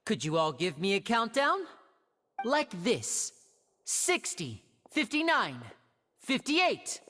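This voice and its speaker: A man's voice: high voice, huge pitch swings, slow pace, bright, forceful tone, a little hiss or hum, exaggerated voice. An actor voicing a flamboyant, playful and confident young male stage magician in a video game, with polished, teasing theatrical patter. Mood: playful showmanship.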